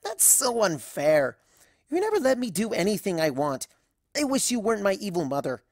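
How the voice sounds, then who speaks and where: A woman's voice: mid-range voice, sing-song, moderate pace, a little mellow, no echo, studio quality, pitched below the performer's own voice. A woman voicing an embarrassed, sulky teenage pony daughter in a fan fiction reading, whiny and exasperated, drawing out her words. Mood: whiny, indignant.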